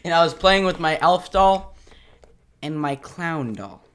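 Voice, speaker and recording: A male co-host spins a goofy improvised story, swinging from hushed whispers to sudden shouts. His pitch leaps around constantly, and his tone is bright and forceful. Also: expressive, unhurried, a little hiss or hum. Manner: silly, bursts from whispers into shouts. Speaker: podcast co-host.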